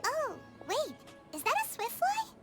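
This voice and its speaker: A tiny, squeaky fairy sidekick speaks extremely high with wildly swooping pitch. The perky anime game delivery is childlike and unhurried, over loud music. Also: female voice, heavily acted. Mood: eager. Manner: perky, expressive. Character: small, bubbly female fairy companion with childlike chirpy temper. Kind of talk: anime video game voice acting.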